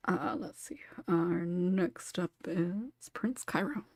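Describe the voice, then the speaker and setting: A woman's voice: lowish voice, slow pace, a little mellow, no room sound, studio quality. A woman hosting a casual panel discussion, chuckling often as she talks. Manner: chuckles often while talking. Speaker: podcast host and moderator.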